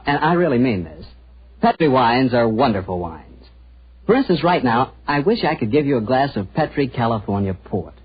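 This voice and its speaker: A man playing a genial, eager American radio announcer with folksy sales patter, in 1940s broadcast style. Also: mid-range voice. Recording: muffled, husky 1940s radio broadcast recording.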